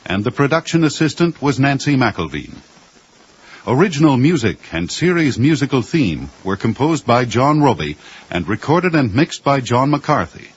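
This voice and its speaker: A man announces a radio drama series in formal scripted style. His mid-pitched voice has a husky edge and moves with animated intonation, yet stays measured. The 1980s broadcast recording is slightly dull.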